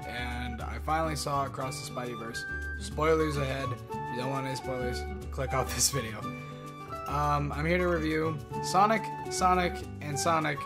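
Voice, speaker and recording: A man's voice: medium pitch, fairly even intonation, normal speed, over loud music. A young man reacting to a comedy video in a casual, chatty way, swearing offhandedly and laughing often, into a studio mic in his bedroom setup. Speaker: reaction streamer. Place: small bedroom studio indoors. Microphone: studio mic on boom, headphones.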